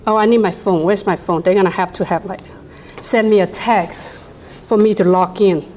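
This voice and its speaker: A woman with a non-native English accent presents a workshop to a live room. She runs a software demo, talks to the audience directly and laughs often. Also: medium pitch, fairly even intonation, moderate pace, a little hiss or hum.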